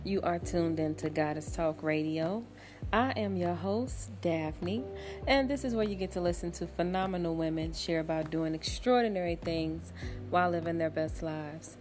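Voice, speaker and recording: A woman from Ohio hosting her own podcast at home late at night, talking casually in a soft, smooth voice with lots of fillers and small laughs. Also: fairly low pitch, moderate pace, faint music behind. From Cincinnati, Ohio. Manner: relaxed, chatty, with frequent fillers and soft laughs. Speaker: podcast host. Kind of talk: casual solo podcast talk.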